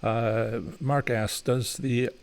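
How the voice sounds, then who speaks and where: A man's voice: medium pitch, little pitch movement, extremely slow, smooth. A man hosting a live question-and-answer stream, talking loosely with many fillers and restarts as he explains and gives advice. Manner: explanatory, advising. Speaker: host answering viewer questions. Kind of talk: live Q&A chat.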